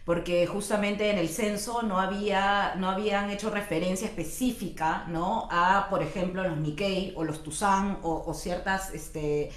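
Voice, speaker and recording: A woman from Lima giving thoughtful documentary testimony with pauses. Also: mid-range voice, steady tone, reverberant. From Lima, Peru. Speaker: interviewee.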